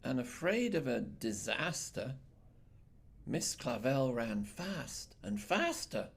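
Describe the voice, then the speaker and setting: A man reading a children's picture book aloud in an expressive, measured storytelling style with dramatic phrasing. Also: mid-range voice, vocal fry, breathy edge. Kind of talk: expressive bedtime read-aloud narration.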